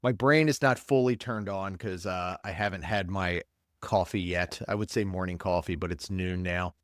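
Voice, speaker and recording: A man's voice: deep voice, moderate pace, fairly bright tone, no echo, clean studio sound. Congested, blunt man ranting. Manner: rambling, blunt, opinionated. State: congested, stuffed-up voice. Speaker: podcast host, paramedic. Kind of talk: casual solo commentary.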